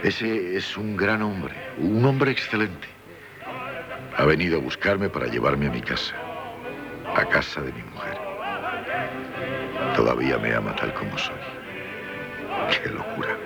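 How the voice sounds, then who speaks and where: An actor in a 1970s Castilian Spanish radio drama playing a dissolute, melancholy man, impulsive and self-reproachful, with a gravelly voice. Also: a bass voice, expressive, a little slow, pauses often, heavily acted. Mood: melancholy, tipsy. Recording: 1978 radio broadcast with music. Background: music.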